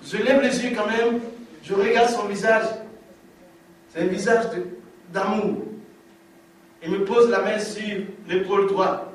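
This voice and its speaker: A man's voice: sits high, fairly even intonation, measured pace, long pauses. A man giving an emphatic preached testimony, repeating lines for effect, recorded from far off in a large hall. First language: African language. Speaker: testimony speaker.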